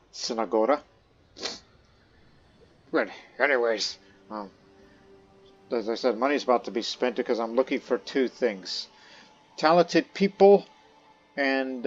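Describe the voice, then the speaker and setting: A man's voice: mid-range voice, highs cut off. A man giving casual gameplay commentary, rambling with many fillers and restarts, sometimes talking as his in-game ruler. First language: not Croatian; studied Croatian as a second language. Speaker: let's play host. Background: faint game music.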